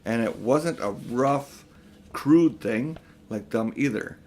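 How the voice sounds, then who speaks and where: A man's voice: medium pitch, slow, a little mellow, slight background noise. An older man reading a children's story aloud as scripted audiobook narration.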